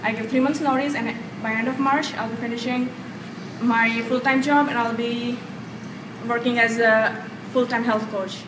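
A woman's voice: on the high side, steady tone, moderate pace, far from the mic, heavy background noise. Woman, earnest testimonial, handheld mic in an echoey conference room. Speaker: health coach student. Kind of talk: audience testimonial. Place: large hotel conference room. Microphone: handheld microphone.

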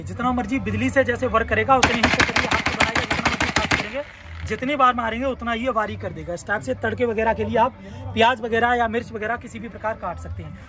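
A young man giving a rehearsed sales demonstration at a market stall, listing uses in repetitive patter. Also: high voice. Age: young adult. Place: open-sided tented fair stall outdoors. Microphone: handheld camera a step or two away. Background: music.

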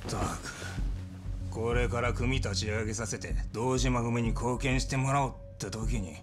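An actor playing a scornful, disgruntled yakuza gangster with rough, sneering speech, in a crime video game. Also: male voice, mid-range voice, fairly even intonation, moderate pace, music in the background, lightly acted. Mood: disgusted.

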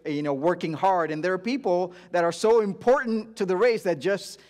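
A middle-aged man from India who grew up in Dubai, giving an informal sermon as a guest preacher in a conversational, halting way. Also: high-pitched, a little mellow. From India-born, grew up in Dubai. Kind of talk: informal sermon, personal testimony. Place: church auditorium stage, before a congregation.

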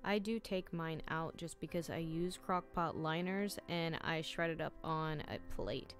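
Woman, 30s, home-cooking vlogger, lower voice, casual step-by-step instructions over faint music. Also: a little slow. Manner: chatty, instructive, says "you're gonna wanna" a lot. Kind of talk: casual recipe walkthrough.

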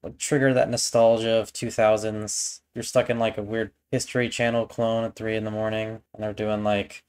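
A man's voice: on the low side, flat delivery, moderate pace, hardly any pauses, a little mellow, clear, resonant tone. A young man giving casual, off-the-cuff reaction commentary from a small room indoors. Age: young adult. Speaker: reaction video host.

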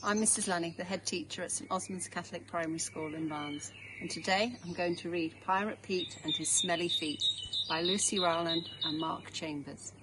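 A middle-aged woman with an English accent reads a children's storybook aloud in a measured, clearly phrased way. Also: fairly low pitch, steady tone. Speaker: head teacher reading a picture book. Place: outdoors in a small garden. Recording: outdoor background noise.

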